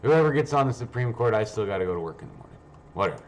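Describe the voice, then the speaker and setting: A man's voice: fairly low pitch, lively intonation, racing. A man hosting a livestream, rambling casually and reading news aloud in a blunt, sweary, offhand way. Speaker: livestream host.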